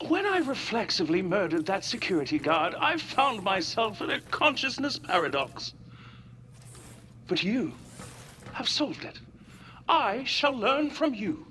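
An earnest robot companion from a video game. It speaks in precise, formal phrases, curious and philosophical, with very animated pitch. There is faint noise behind it. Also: unhurried. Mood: awed.

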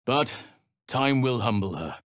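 A man voicing a noble, gentle-mannered archdruid in a fantasy video game, speaking in a measured, earnest, formal way. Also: mid-range voice, animated, no room sound, studio quality. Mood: grave, measured. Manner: measured, earnest, formal, old-fashioned phrasing.

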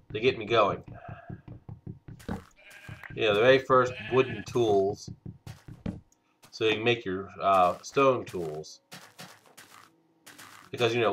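A man hosting a game let's play, stuffed up from a cold, talking slowly with many hesitant fillers. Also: fairly low pitch, a bit bright, slight background noise. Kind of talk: casual gameplay commentary.

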